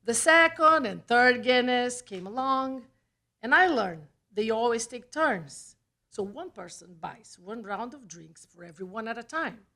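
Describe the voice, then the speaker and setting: Slow, higher-pitched Brazilian woman reading her story. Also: expressive, sharp tone. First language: Portuguese. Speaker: writer reading own personal essay. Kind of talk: scripted storytelling read aloud at a live event. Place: small theatre, live reading event.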